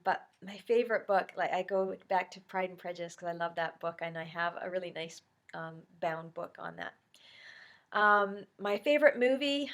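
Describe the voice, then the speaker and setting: Woman, middle-aged, congested, nasal from a cold, casual vlog chat, rambling. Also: medium pitch. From Canada. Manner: chatty, rambling, many ums and you-knows. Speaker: YouTube vlogger.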